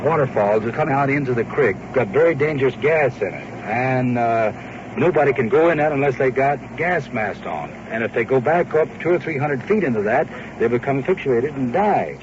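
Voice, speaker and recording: A man's voice: medium pitch, lively intonation, brisk. An American man giving a plain, practical briefing at a search scene. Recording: muffled 1950s field tape, noisy.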